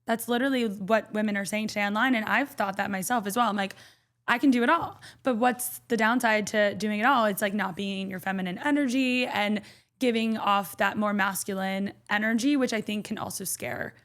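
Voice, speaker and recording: A young woman hosting a podcast interview, chatty and lively with quick asides. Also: medium pitch. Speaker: podcast host.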